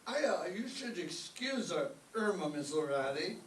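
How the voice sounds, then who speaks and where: A man playing a wry, hesitant maestro who trades droll insults in an old-time radio comedy, with a halting delivery. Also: high-pitched, very animated. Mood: nervous, halting. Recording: echoey stage, distant mic, faint noise.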